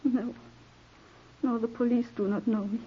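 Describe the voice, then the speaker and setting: A woman's voice: a little high, steady tone, normal speed, husky. A woman playing a frightened, devoted young immigrant in a 1940s radio drama, speaking earnestly and carefully, with a pleading manner. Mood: anxious, subdued. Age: 20s. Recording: tinny, phone-like vintage radio recording.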